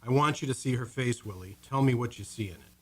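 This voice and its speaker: A man's voice: mid-range voice, quick pace, a bit bright, husky. A man playing a blunt morgue attendant. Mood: grim. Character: world-weary male morgue attendant. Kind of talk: old-time radio drama.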